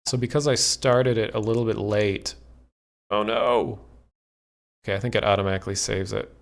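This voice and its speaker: Low-pitched man, casual livestream host. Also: fairly even intonation, normal speed, vocal fry. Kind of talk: casual livestream talk explaining a radio hobby.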